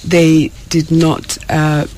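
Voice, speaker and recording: A woman from South Sudan speaking as an interview guest, halting and often searching for words. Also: low-pitched, little pitch movement, darkish tone, slight background noise. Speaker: guest, education program worker. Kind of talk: radio interview.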